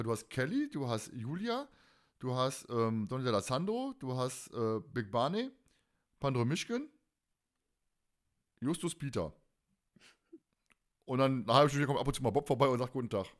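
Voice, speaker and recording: Guy hosting a fan podcast, mid pitch, chatty and teasing, full of opinions. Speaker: podcast host. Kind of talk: casual fan podcast chat.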